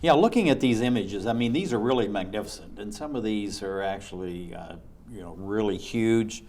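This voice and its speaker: A man's voice: lowish voice, moderate pace, darkish tone, slight background noise. A man hosting an interview show, asking long rambling and enthusiastic questions. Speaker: talk show host.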